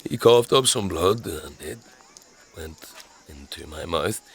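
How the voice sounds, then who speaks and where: A wry troubled scavenger in a fantasy audio drama, low and slow, husky, kinda hesitant. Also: male voice, lively intonation, exaggerated voice. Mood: brooding confession. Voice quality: gravelly, husky. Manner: hesitant, halting, dryly sarcastic. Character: gruff, troubled young male scavenger with inherited powers, wry.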